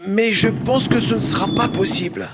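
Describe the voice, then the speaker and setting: A man voicing a gruff, grumpy old steam locomotive in a French children's cartoon. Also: high voice, normal speed, very noisy, close to an everyday voice. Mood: grudging. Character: grumpy old grandpa steam locomotive who shuns company. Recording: loud music behind the voice.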